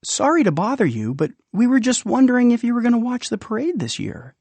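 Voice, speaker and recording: A man's voice: very high-pitched, very animated, brisk, a little mellow, dry recording, voice pushed up, full character voice. A man voicing a friendly, curious young boy with a casual, earnest, chirpy manner, in a children's storybook. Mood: curious, polite.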